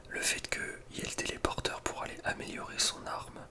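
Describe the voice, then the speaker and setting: Male ASMR creator, whispered throughout, informal and slangy, hesitant, close-miked. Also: normal speed. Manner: casual, slangy, hesitant asides. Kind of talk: whispered ASMR ranking commentary.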